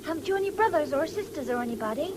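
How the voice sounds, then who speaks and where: A woman's voice: high voice, animated, rapid-fire. An actress playing an eager, kindly and rather shy young woman in a radio drama. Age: young adult. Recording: faintly noisy 1970s radio recording.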